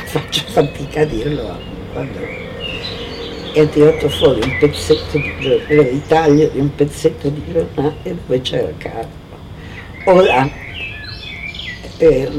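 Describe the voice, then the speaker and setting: A man recalls things slowly and haltingly, with feeling. His voice sits fairly high, recorded amid clear outdoor noise and birdsong. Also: a little mellow. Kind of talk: personal spoken recollection. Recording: noisy ambience with birdsong.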